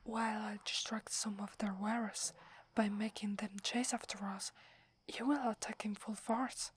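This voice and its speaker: A woman's voice: medium pitch, fairly even intonation. Whispering young werewolf warrior played by an Italian-accented woman. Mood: confident, devoted. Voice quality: soft breathy whisper. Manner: slow, hushed, deferential. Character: young female werewolf warrior, devoted, humble, obedient. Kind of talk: ASMR roleplay.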